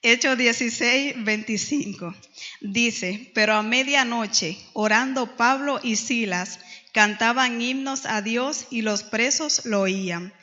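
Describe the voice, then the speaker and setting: Middle-aged Dominican woman, Bible class teacher; bright tone, reverberant hall, muffled recording. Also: on the high side, slow pace. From Dominican Republic. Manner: storytelling with many hesitations. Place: church hall. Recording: dull lo-fi church recording with long reverb.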